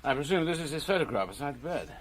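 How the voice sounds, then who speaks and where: An actor playing an observant, deferential police detective, attentive and matter-of-fact, in a radio drama. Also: male voice, fairly high pitch, animated, racing, slight background noise, close to an everyday voice. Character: deferential male police detective, observant sidekick to his chief.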